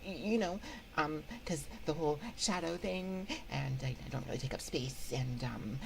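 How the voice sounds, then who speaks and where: A woman's voice: deep voice, normal speed, gravelly, slight background noise. A woman voicing a timid, awkward female shade in an ASMR roleplay, stammering and rambling nervously into a close microphone. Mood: awkward, sheepish. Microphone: close binaural microphone.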